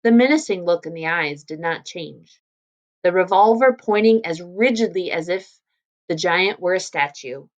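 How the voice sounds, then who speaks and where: Woman teacher reading aloud n explaining stuff casually, lowish voice, kinda dull recording. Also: expressive, normal speed. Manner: informal, explanatory. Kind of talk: read-aloud lesson with casual explanatory commentary.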